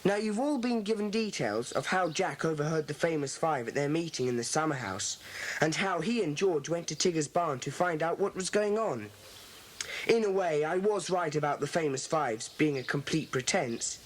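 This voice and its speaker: An actor playing a solemn, commanding schoolboy who leads a secret club in a children's audio drama, with an earnest, deliberate delivery. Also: mid-range voice, natural voice. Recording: faintly noisy 1980s cassette.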